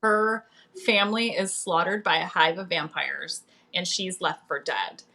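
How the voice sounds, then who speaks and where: A woman's voice: on the low side, measured pace, gravelly, pushed and bright. A woman speaking as a guest author in a casual livestream, playful and informal in manner. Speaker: author guest on a book live stream.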